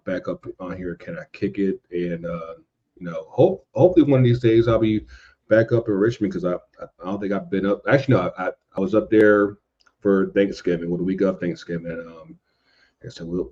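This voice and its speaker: A man chatting casually as a podcast guest, halting and circling back over his phrases. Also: deep voice, mellow, dull audio. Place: indoors at home in a city.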